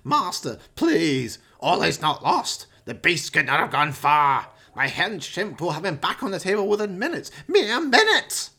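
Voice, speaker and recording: A man's voice: high voice, very animated, unhurried, hardly any pauses, bright, forceful tone, voice pushed up, exaggerated voice. A man voicing a frantic mad scientist villain who pleads and barks orders in a radio play. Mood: pleading.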